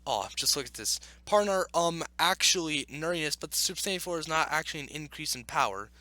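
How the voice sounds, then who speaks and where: A man's voice: on the high side, lively intonation, fairly quick, bright, forceful tone, dry recording. A man giving opinionated, casual commentary as a video host, arguing emphatically and repeating his points. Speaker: video host reacting to an article. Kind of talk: opinionated casual commentary with read-aloud passages.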